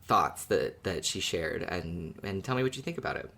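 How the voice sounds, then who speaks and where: A podcast co-host in casual discussion, agreeing readily and explaining points in a measured way. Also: deep voice, lively intonation, bright, forceful tone.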